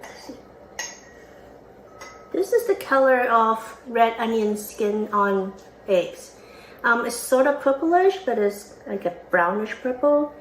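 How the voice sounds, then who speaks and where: A woman's voice: on the high side, animated, takes it slowly, pauses often. A woman from Hong Kong co-hosting a health show, giving a casual how-to demonstration in a chatty manner with small slips. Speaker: podcast co-host. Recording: roomy echo, faint room noise.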